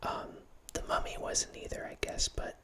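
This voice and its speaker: A man in his thirties whispering a casual, rambling talk close to a studio mic in a small home room, often restarting his sentences. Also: moderate pace. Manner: rambling, self-correcting, casual. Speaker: host. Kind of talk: whispered ASMR horror film ramble. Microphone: studio mic close up, headphones.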